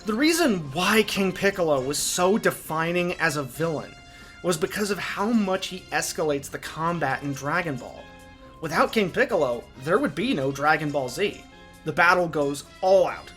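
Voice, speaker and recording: A man's voice: high voice, a bit bright. A man hosting a video essay, giving opinionated commentary in an emphatic, enthusiastic fan's delivery.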